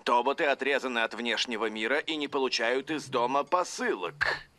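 A man voicing a stern, calculating middle-aged villain boss in a cartoon dub, commanding and deliberate. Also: mid-range voice, sharp tone, lightly acted. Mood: cold, scheming. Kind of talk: animated cartoon dub acting.

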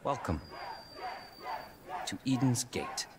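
An actor playing a smooth, menacing cult preacher in his thirties, speaking slowly and persuasively in a video game. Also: mid-range voice, big pitch swings, over a noisy crowd, very noisy. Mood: sinister, persuasive. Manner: slow, deliberate, persuasive. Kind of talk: video game dramatic monologue, televangelist-like.

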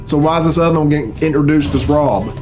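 A man's voice: on the high side, quick pace. A man in his thirties giving casual, profane and sardonic reaction commentary in a small room. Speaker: reaction video host. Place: small bedroom, indoors. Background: video game cutscene music.

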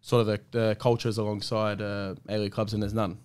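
A man, a podcast guest talking casually in quick run-on sentences with many restarts. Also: lowish voice, little pitch movement, a little mellow, smooth. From Australia.

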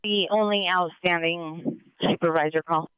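A man's voice: on the high side, steady tone, measured pace, pushed and bright, clear, resonant tone, dry recording. A man working as a dispatcher, giving clipped procedural messages over a police radio. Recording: muffled police scanner radio.

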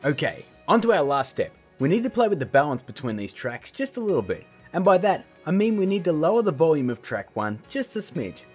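A man's voice: on the high side, big pitch swings, fairly quick, few pauses, slightly rough, faint music behind. A man in his thirties hosting a casual scripted tutorial in a jokey, emphatic, theatrical way. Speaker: tutorial host.

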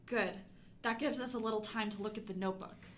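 A woman's voice: a little high, steady tone, fast, a little hiss or hum, close to an everyday voice. A woman playing a bold, pushy and curious teenage sister in an audio drama. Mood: bossy, eager.

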